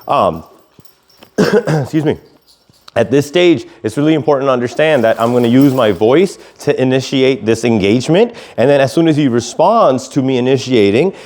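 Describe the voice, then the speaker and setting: A man giving an instructional talk as a dog trainer, explaining things and using clear, short commands and praise. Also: mid-range voice, a little mellow.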